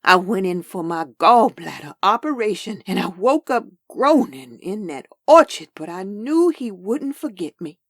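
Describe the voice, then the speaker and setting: A woman playing a devout, excitable churchgoing woman in a comic dub, exclaiming with fervor. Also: mid-range voice, unhurried, hardly any pauses, no room sound, clean studio sound. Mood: rapturous. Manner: fervent, exclaiming. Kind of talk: comic dub character acting.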